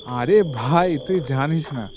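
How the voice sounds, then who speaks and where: A hearty, teasing young man in a comic audio drama. He is animated and colloquial, pitched a bit high, with chirping ambience clearly behind him. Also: takes it slowly, noisy background. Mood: amused, mocking. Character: jovial, teasing young man, a maths-mad friend.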